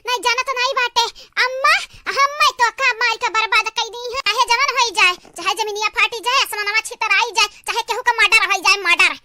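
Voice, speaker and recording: A performer voicing a melodramatic, aggrieved village sister-in-law in a family comedy cartoon, with a squeaky pitched-up voice, a Bhojpuri-Awadhi North Indian accent and a theatrical, scolding, wailing delivery. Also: fairly even intonation, fast, hardly any pauses, pushed and bright, slight background noise, exaggerated voice. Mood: defiant. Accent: Bhojpuri-Awadhi belt of North India. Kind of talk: exaggerated family comedy cartoon dialogue in rural dialect.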